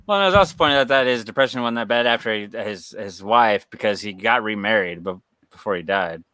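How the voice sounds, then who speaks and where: A man's voice: on the low side, fast, bright, forceful tone, dry recording. A man co-hosting a podcast in loose, rambling chat, laughing often and swearing freely. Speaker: podcast co-host. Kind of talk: casual chat.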